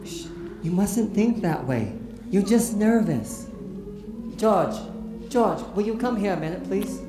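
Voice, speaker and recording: An actor playing a middle-aged father calmly reassuring his nervous daughter. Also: high voice, slow pace, music in the background. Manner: calm, reassuring. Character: soothing father of the bride. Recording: echoey vintage film soundtrack with score.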